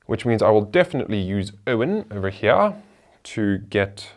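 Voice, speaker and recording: A man's voice: fairly low pitch, very animated, moderate pace, darkish tone. A man hosting a board game show, talking through the rules and each move of a solo playthrough in a chatty way.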